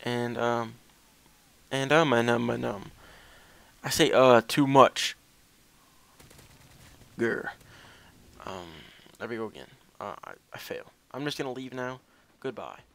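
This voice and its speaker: Smooth-voiced young man rambling casually. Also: medium pitch, takes it slowly, pauses often, fairly bright tone. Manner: self-deprecating, hesitant. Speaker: gaming video commentator.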